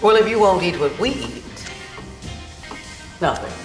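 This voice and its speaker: A haughty middle-aged stepmother in a film drama. She speaks slowly and imperiously, with big swings of pitch and a cutting edge, in a roomy space over faint music. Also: very animated. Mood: brisk, haughty. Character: haughty, money-grabbing stepmother and scornful wife.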